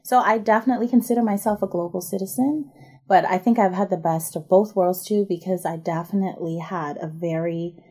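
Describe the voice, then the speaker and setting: Articulate woman in her 30s, raised in the Bahamas; relaxed, dark timbre, slightly low pitch. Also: brisk, slightly rough. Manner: articulate, upbeat, explanatory. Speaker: podcast guest, MBA student and barrister. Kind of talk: conversational interview.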